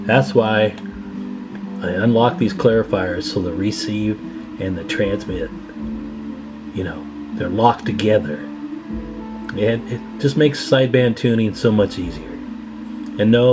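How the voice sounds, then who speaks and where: A man with a fairly low voice talks viewers through hands-on bench work. He is casual and folksy, addressing the audience informally like fellow hobbyists. Guitar music plays clearly behind him. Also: rough recording. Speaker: host of a radio repair video channel. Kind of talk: casual hands-on workbench explainer.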